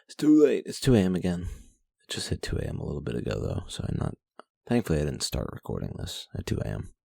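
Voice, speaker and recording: A man's voice: very deep voice, wildly swooping pitch, fast talker, vocal fry, dark tone, dry recording. A young man casually reading his journal aloud late at night, rambling, swearing and putting himself down. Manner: profane, self-deprecating, rambling.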